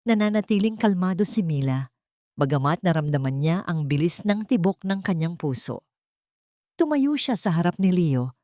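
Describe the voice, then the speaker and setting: A woman narrates a dramatic audio story in a fairly low voice. Her delivery is measured and solemn, with a literary feel. The sound is dry and close. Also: very animated, warm tone, no echo.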